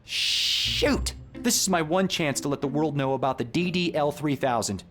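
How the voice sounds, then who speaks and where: An actor playing an earnest, excitable young rocket inventor in an adventure game, with a boyish, easily flustered, eager manner. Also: male voice, on the high side, moderate pace, faint music behind, close to an everyday voice. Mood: anxious.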